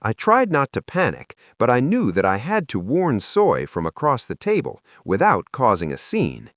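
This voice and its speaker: A man narrating a first-person children's story in an expressive, animated storytelling style. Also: medium pitch, moderate pace, dry recording, clean studio sound.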